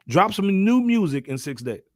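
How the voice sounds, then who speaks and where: A man's voice: sits high, normal speed, dry recording, studio quality. A man hosting a podcast in casual hip hop discussion, with a slangy, conversational delivery peppered with asides. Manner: slangy, animated asides, frequently says "man". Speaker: podcast host.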